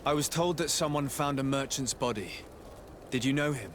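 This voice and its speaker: An actor playing a composed, insistent noble legate in a video game, speaking formally and persuasively. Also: male voice, medium pitch, moderate pace, a little hiss or hum, natural voice. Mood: pressing. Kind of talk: game cutscene acting.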